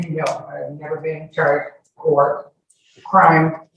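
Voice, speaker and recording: A woman's voice: deep voice, level tone, drawn-out words, gravelly, a bit bright, highs cut off. A woman testifying as a witness in a courtroom, answering with emphatic, defensive denials. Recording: very echoey, distant, noisy courtroom audio.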